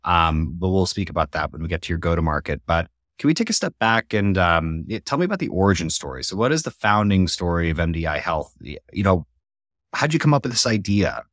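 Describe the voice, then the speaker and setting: Casting: male podcast host, very low voice, dry close sound, light music under. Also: big pitch swings, fairly quick, a bit bright, dry recording. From Colorado. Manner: friendly, searching for words with restarts. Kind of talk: podcast interview.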